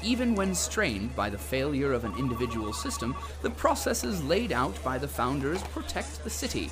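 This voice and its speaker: A pompous male announcer , acted by a man, narrates with sweeping, animated pitch over clear music. His voice sits a little high, and he delivers grandiose company propaganda with dramatic solemnity. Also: normal speed, close to an everyday voice, poor audio. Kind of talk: scripted narration, dystopian comedy audio drama.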